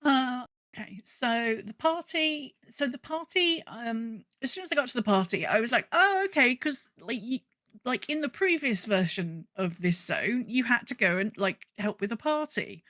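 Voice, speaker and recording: A woman's voice: fairly high pitch, animated, normal speed, a bit bright, dry recording. A woman co-hosting a podcast, chatting casually about an online game. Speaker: podcast co-host.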